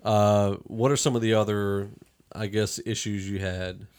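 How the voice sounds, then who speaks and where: A man's voice: lowish voice, level tone, measured pace, a little mellow, clean tone. A man hosting a podcast, talking through a film review in a rambling, conversational way. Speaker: podcast host. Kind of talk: casual movie review discussion.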